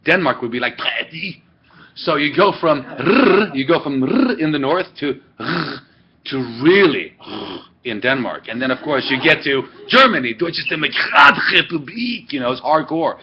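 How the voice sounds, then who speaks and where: A man's voice: a little high, sing-song, moderate pace, slight background noise. A man lecturing a class, rambling and correcting himself as he goes, recorded by a webcam. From Sweden. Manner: rambling, digressive, self-correcting. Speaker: teacher. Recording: dull lo-fi webcam audio.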